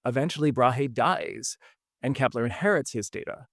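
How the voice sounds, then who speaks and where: Gravelly man telling a story casually. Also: medium pitch, moderate pace, no room sound, clean studio sound. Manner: conversational, relaxed, with frequent fillers. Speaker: podcast host.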